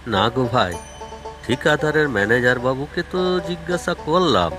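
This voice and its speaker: A man's voice: mid-range voice, lively intonation, moderate pace, over music, close to an everyday voice. A man playing a poor, worried labourer and father in a cartoon, plaintive and folksy. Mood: worried, resigned. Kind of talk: cartoon voice acting.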